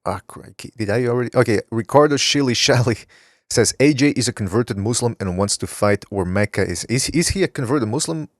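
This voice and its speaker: A man's voice: medium pitch, expressive, a little fast, no room sound, studio quality. A man hosting a casual podcast, rambling as he reads viewer chat comments aloud. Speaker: podcast host. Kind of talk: casual talk show chat.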